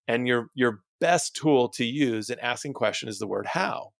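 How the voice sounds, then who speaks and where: A male coach delivers an instructional motivational talk at a medium pitch. He stresses his key points and puts questions to the listener. The sound is dry, with very little room in it. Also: animated, moderate pace, vocal fry, no echo. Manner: instructional, emphatic, rhetorical questions. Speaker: dental practice coach and host.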